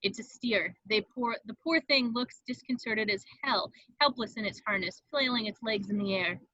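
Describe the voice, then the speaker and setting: A woman's voice: on the high side, normal speed, sharp tone, dry recording. A woman reading stage directions as the narrator of a script table read, in a slightly dull, dry home recording.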